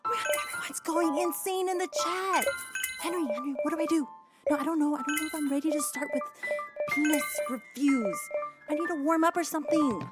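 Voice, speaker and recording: An actress playing a flustered, scrappy young woman in a scripted audio comedy, hesitant, stammering and excitable. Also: high voice, fairly quick, over loud music, lightly acted. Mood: shocked, giddy. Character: flustered, scrappy young woman starting an online dominatrix show. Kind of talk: scripted audio comedy acting and first-person narration.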